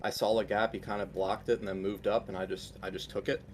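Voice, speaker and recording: A man describing his winning race move in a post-race interview, in quick offhand talk. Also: lowish voice, little pitch movement, slight background noise. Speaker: race driver guest.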